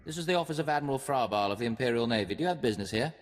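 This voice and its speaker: A man's voice: medium pitch, lively intonation, very fast, in a large hall, lightly acted. A man playing a stiff, snooty imperial lieutenant secretary who is easily flustered, in a video game. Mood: officious.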